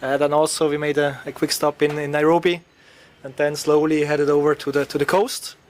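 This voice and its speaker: A man's voice: on the high side, little pitch movement, fairly quick. A man from Switzerland, a radio interview guest, talking hesitantly with many filler sounds. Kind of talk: interview answers.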